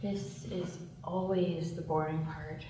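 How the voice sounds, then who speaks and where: Low-voiced, guarded young woman played by an actress. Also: little pitch movement, slow. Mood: reluctant. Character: reluctant, guarded young female criminal. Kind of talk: table read of a screenplay. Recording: very echoey room, distant mic, noise.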